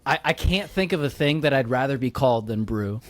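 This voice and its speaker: A man's voice: mid-range voice, animated, a little fast, no room sound. Brief: man co-host, laid-back and rambling, dry close recording. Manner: laid-back, uses fillers like "like" and "kinda". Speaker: podcast co-host and founder. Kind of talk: casual chat.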